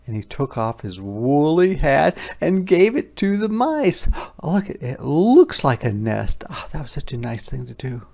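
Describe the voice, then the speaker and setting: Creaky, slow-paced children's read-aloud teacher. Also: mid-range voice. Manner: expressive, explaining and questioning as to young children. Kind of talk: interactive children's story narration with casual asides.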